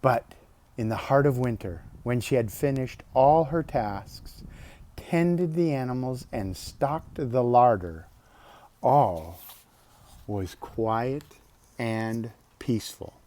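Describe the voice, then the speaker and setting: A man reading a children's picture book aloud expressively, drawing out words, pausing and adding casual asides. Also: mid-range voice. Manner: expressive, with drawn-out words and pauses. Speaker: storybook reader.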